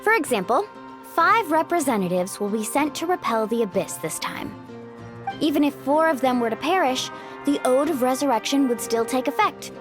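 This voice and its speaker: An upbeat young game heroine who guides newcomers. Her voice is high and assured, friendly and encouraging, with music softly behind. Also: animated, moderate pace. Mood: explaining, assured. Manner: breezy, encouraging. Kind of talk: video game voice acting.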